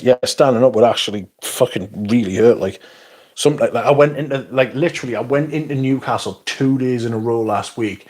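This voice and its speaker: A man's voice: medium pitch, a little mellow, slightly rough. A man hosting a livestream, reading out chat and bantering in a sweary, sarcastic way.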